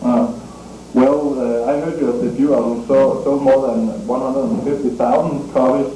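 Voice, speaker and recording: Dark-toned, hesitant male interviewer. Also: mid-range voice, fairly even intonation, slight background noise. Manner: hesitant, halting, many fillers. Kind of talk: interview questions. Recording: echoey room from far off.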